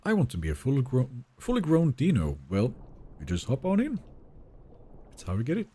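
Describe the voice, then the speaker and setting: A man's voice: mid-range voice, wildly swooping pitch, moderate pace, mellow, breathy edge. A young man whose first language is Finnish, casually chatting with viewers and reading their messages during a live game stream from a home setup. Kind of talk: live gameplay stream, reading chat aloud.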